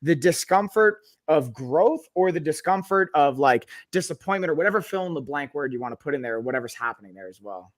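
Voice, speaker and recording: A man's voice: on the high side, animated, brisk, hardly any pauses. A man hosting a podcast, thinking aloud in a rambling, thoughtful way, full of fillers and restarts. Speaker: podcast host. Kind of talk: casual podcast conversation.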